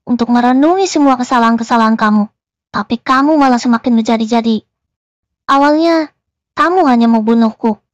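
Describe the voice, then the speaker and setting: High-voiced, menacing vengeful female ghost, played by a woman. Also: no room sound, dull audio, close to an everyday voice. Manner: menacing, dramatic, deliberate. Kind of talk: melodramatic horror cartoon acting.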